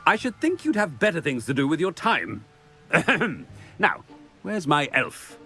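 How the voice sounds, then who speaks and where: An actor playing a pompous, middle-aged wizard headmaster in a video game, blustering and stammering in a theatrical, imperious way. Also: male voice, fairly high pitch, sing-song, measured pace, pushed and bright, faint music behind, exaggerated voice. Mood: indignant, dismissive. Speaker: school headmaster character.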